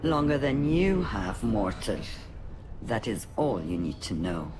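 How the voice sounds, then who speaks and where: An actor voicing a sly, haughty otherworldly broker in a video game, formal and coolly condescending. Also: male voice, on the low side, big pitch swings, a little slow. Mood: guarded, condescending. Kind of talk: video game character dialogue. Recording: game audio with background ambience noise.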